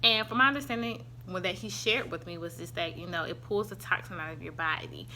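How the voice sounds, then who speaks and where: A woman's voice: on the low side, brisk, pushed and bright, a little hiss or hum. A young woman with a Southern US accent, chatting casually through a product review at home and recorded on a handheld phone, rambling with many ums. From Southern United States. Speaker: vlogger reviewing supplements. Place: her home, indoors.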